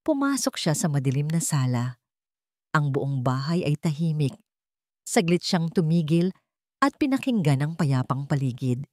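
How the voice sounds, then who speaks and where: A woman with a fairly low voice narrates a scripted drama. The recording is dry and close, with little room sound. She reads as a storyteller. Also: big pitch swings, moderate pace, a little mellow, no echo.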